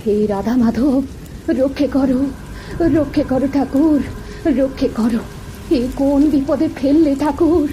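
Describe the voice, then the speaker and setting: A woman's voice: sits high, unhurried, mellow, low-quality recording. A woman voicing a frightened, pious village mother in a horror cartoon, pleading and repeating herself, with rain and thunder. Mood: fearful, urgent. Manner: repetitive, wailing, pleading. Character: panicked pious village mother begging for mercy. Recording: rain and thunder noise over voice.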